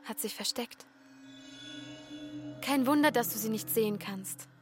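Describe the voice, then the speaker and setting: A woman's voice: on the high side, a little slow, over music, lightly acted. A woman playing a bold, teasing child explorer in a radio drama, with an or lilt. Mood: curious, teasing. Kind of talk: naturalistic radio drama dialogue.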